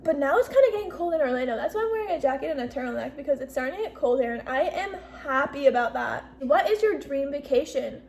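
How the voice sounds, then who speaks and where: A woman's voice: sits high, animated, quick pace, creaky, few pauses, a little mellow, slight background noise. A woman in her twenties chatting casually in a bubbly, talkative way, peppering her speech with "like" and "literally". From US Northeast. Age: 20s. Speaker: YouTuber. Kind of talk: casual Q&A vlog.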